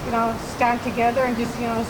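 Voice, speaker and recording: A woman in her forties from Manitoba, slightly high in pitch, speaks haltingly and nervously, filling her pauses with ums. She talks at an outdoor rally, and passing traffic rumbles clearly through the recording. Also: monotone. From Manitoba, Canada. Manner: hesitant, nervous, many fillers. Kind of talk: personal testimony speech.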